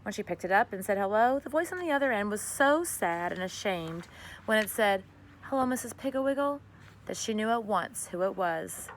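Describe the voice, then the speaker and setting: A woman reading a children's story aloud as narrator, expressive and conversational. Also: medium pitch, moderate pace, slight background noise, poor audio. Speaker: storybook reader.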